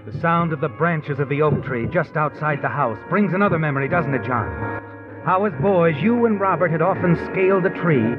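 An American actor as an ominous, knowing narrator of 1950s radio suspense, deliberate and taunting, speaking directly to the killer. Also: male voice, a little high, animated, natural voice. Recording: tinny old radio recording with music.

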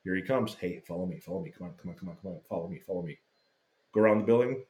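A man casually telling a lively fan story, swearing freely and acting out what people said. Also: sits low, very fast. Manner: animated, swearing, acts out quotes. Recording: roomy echo.

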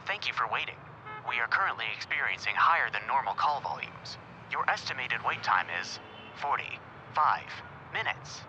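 A man's voice: medium pitch, sing-song, normal speed, sharp tone. A man voicing a polite automated phone menu in an audio drama, formal and scripted. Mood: polite, impersonal. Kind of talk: recorded announcement in audio drama. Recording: dull sound, faint noise.

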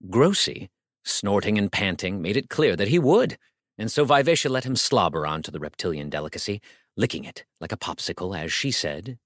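A man's voice: on the low side, animated, fairly quick, fairly bright tone, no echo, studio quality. A man narrating a comic story as a scripted audiobook, theatrical and wry, savoring his phrases.

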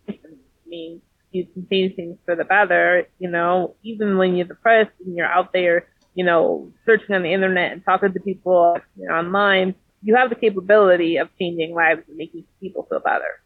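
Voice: mid-range voice, gravelly. A guest in a casual podcast conversation who speaks earnestly and encouragingly, with many fillers, heard over a call. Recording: tinny phone line, dry.

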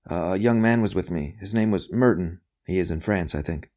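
A man acting a hushed, guarded captive priest. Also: low-pitched, close to an everyday voice. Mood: hesitant, evasive. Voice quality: hushed, breathy murmur. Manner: halting, guarded answers. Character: captive young priest under torture, evasive, frightened but steadfast.

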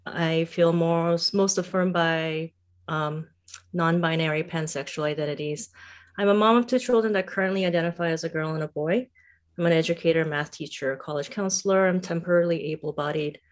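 A woman's voice: sits low, brisk. A middle-aged woman from Singapore and Thailand, an educator speaking as a podcast guest in a thoughtful, conversational way. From Singapore and Thailand, born in South Korea. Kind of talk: conversational interview.

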